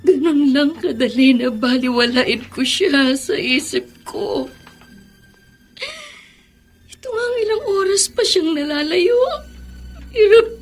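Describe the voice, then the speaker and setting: A woman's voice: high voice, expressive, takes it slowly, pauses often, faint music behind, exaggerated voice. A woman playing an anxious, grieving widowed mother with a Filipino accent, plaintive and melodramatic in an audio drama. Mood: tearful worry. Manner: tearful, plaintive. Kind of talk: melodramatic radio drama acting.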